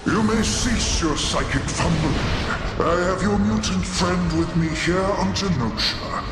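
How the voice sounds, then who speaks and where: Grandiose, echoing supervillain. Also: male voice, on the high side, vocal fry, very noisy, full character voice. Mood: mocking. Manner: pompous, theatrical, deliberate. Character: ranting male supervillain, hateful, grandiose, menacing. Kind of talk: video game villain acting. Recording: reverberant echo under loud music.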